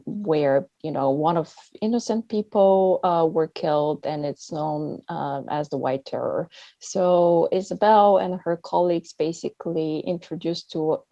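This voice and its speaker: A woman hosting an online event, introducing things in a halting, hesitant way with many pauses. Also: on the low side, gravelly, warm tone, no room sound. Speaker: host of a festival talk. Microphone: video call. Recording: dull, band-limited online call sound.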